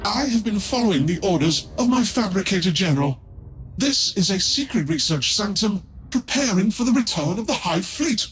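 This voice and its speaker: An actor playing a scheming heretical tech-priest scholar in a video game, speaking in a formal, theatrical, drawn-out way. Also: male voice, sits high, lively intonation, faint music behind. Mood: pleading, evasive.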